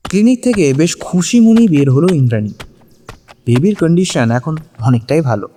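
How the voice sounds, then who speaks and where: A man's voice: on the high side, very animated, brisk, mellow, a little hiss or hum. A man narrating a scripted dramatic audio story. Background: background music.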